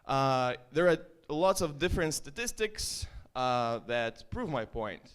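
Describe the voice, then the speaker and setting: A man's voice: mid-range voice, animated, a little slow, clean tone. A man with an Estonian accent giving a loose, joking startup talk to a live audience, full of ums and uhs. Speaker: startup founder presenting. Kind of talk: conference stage talk.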